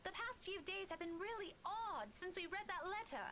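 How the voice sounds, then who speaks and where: A woman voicing an anxious, earnest young woman in a horror visual novel who apologizes yet stays determined, with a soft, pleading delivery. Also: very high-pitched, lively intonation, moderate pace, slight background noise, full character voice. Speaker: game character.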